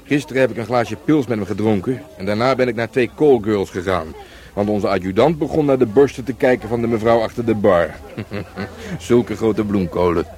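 An actor playing a laid-back police sergeant in a radio play, with a wry, teasing way of talking. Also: male voice, lowish voice, moderate pace, a little mellow, lightly acted. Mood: amused, teasing.

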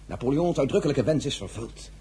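Casting: man playing an ambitious family schemer in period radio drama, eager and self-important, animated intonation, faint noise. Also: mid-range voice, brisk, lightly acted.

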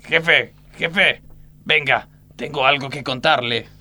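A man's voice: medium pitch, slow pace, sharp tone, no room sound. An actor playing an earnest, eager male factory worker in a comedic radio play, with an Argentine accent. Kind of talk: comedic radio theatre acting.

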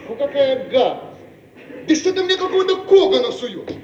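Excitable high-pitched visionary inventor. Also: male voice, normal speed, recorded from a distance, highs cut off, noisy background. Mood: indignant. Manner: impassioned, grandiloquent, theatrical. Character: excitable idealistic male inventor of a time machine. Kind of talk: Soviet radio play acting. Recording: echoey archive radio recording, off-mic.

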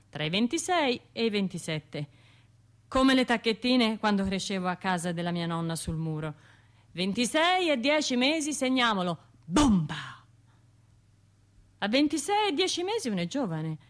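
An actress playing a tormented, bitterly wry Florentine bomb survivor in a radio drama monologue, with a Tuscan accent and colloquial, brooding self-talk. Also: female voice, mid-range voice. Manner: brooding colloquial self-talk, repeating words, addressing herself and imagined listeners.

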